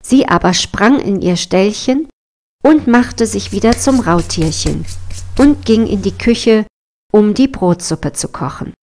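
A woman's voice: medium pitch, lively intonation, unhurried, darkish tone. A woman storyteller reading a scripted fairy tale narration. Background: music.

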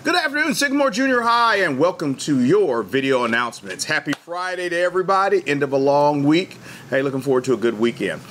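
A man's voice: on the high side, very animated, brisk, fairly bright tone, slight background noise. A middle-aged man giving upbeat, friendly school video announcements to students from a small school studio. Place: school TV studio, indoors, green screen.

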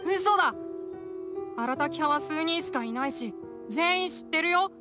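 A woman's voice: high voice, expressive, measured pace, loud music behind the voice. A woman voicing an earnest, loyal little village boy with a childlike, innocent way of speaking in an anime game. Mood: pleading. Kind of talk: Japanese anime game voice acting.

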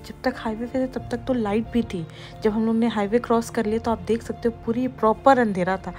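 A woman's voice: on the high side, fairly even intonation, brisk, a little mellow. A woman in her thirties narrating her family's camping trip as a vlog voice-over, chatty and mixing in English phrases. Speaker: vlogger. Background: faint music under the narration.

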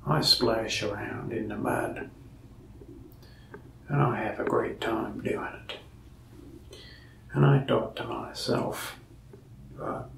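Gravelly, whispery young man, slow. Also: mid-range voice, echoey room, recorded from a distance, slight background noise, rough recording. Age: young adult. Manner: whispery, folksy and rambling. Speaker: mask shop salesman in roleplay. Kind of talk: soft-spoken ASMR roleplay. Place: indoor room before a wall of masks.

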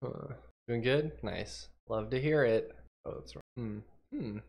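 A man's voice: mid-range voice, measured pace, creaky, lo-fi sound. Young man, slightly nasal, congested, casual stream chat, thinks aloud at a desk mic. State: congested, with a cough. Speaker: livecoding streamer. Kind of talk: casual livestream chat while coding.

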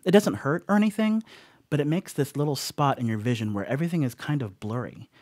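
Sheepish young male stoner house-sitter played by a man, horror radio play. Mid-pitched, rambling, apologetic, closely and dryly recorded. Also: animated, fast talker, a little mellow, no echo, lightly acted. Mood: chatty, digressive. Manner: rambling, apologetic, casual asides. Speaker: voice actor. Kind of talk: audio horror monologue, conversational confessional.

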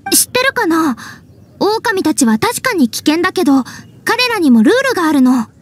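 A woman playing an earnest, cheerful young female scout in a Japanese anime game, perky and expressive. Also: extremely high pitch, normal speed. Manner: perky, expressive, polite-casual.

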